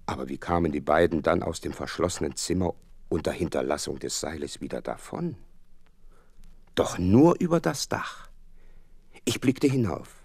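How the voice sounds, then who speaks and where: An actor playing a loyal, earnest detective's companion who narrates a radio play, with a husky voice and expressive delivery. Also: male voice, sits low, no echo, clean studio sound, heavily acted.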